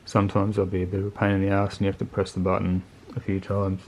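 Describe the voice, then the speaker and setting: A man's voice: low-pitched, completely flat delivery, fast talker, darkish tone, slight background noise. A man giving a casual, unscripted product review in a laid-back, colloquial, plain-spoken way. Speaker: motorcycle video channel host reviewing gear.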